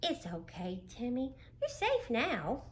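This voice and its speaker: A woman voicing Frizzle, a curious, excitable young male creature in a children's storybook. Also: a little high, slow pace, a little hiss or hum, full character voice. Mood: gentle, caring.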